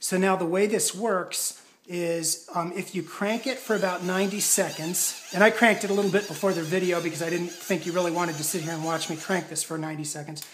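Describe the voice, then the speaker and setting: A man giving a casual product demonstration in a measured, explanatory and somewhat hesitant way. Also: high voice, fairly even intonation, a bit bright, a little hiss or hum. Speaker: product reviewer.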